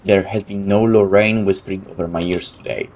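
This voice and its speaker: A man hosting a let's play, reading a visual novel aloud with expressive storytelling and casual asides. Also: deep voice, a little fast. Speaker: let's play host and narrator. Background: game music.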